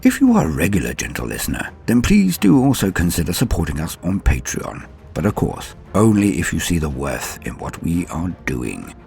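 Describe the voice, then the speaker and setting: A man's voice: sits low, wildly swooping pitch, moderate pace, gravelly, few pauses, a little mellow, soft background music. A man hosting a lore show, delivering scripted narration in a theatrical, formal and florid manner. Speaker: host of a Warhammer lore show.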